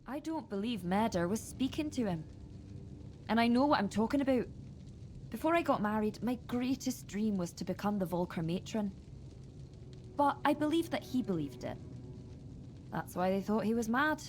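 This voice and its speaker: A woman voicing a grieving widow in a fantasy role-playing game, sharp-tongued yet sorrowful. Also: a little high, animated, moderate pace, long pauses, a little hiss or hum, close to an everyday voice. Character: grieving, bitter widowed tribeswoman. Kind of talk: video game voice acting.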